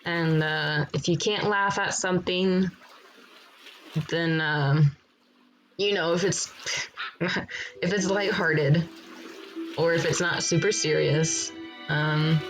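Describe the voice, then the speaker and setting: A woman streaming a game in a relaxed, rambling chat, with a froggy morning voice and frequent laughter. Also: low voice, slow, pauses often, low-quality recording. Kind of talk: casual live-stream chat while gaming. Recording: loud background music over the voice.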